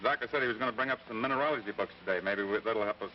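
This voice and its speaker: An actor playing a sensible, kindly, skeptical biologist with an American accent in a 1950s radio drama. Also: male voice, lowish voice, far from the mic, lightly acted. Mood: matter-of-fact. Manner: brisk, matter-of-fact. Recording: dull old radio recording, faint noise.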